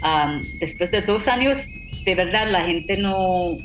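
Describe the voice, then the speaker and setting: A middle-aged woman with a Trinidadian accent speaks at a leisurely, deliberate pace, her first language being English. She tells her own story with hesitant restarts and fillers, in a mid-pitched voice. The recording carries a noticeable roomy echo with faint music underneath. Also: animated. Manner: halting, frequent restarts and fillers, occasional light laughs. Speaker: professional interpreter, guest. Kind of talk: personal storytelling talk.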